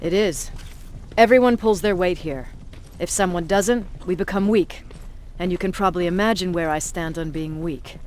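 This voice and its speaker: An actress playing a blunt, authoritative resistance commander in a video game, tough and sardonic. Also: female voice, fairly low pitch, normal speed, darkish tone, a little hiss or hum, lightly acted. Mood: brisk, stern.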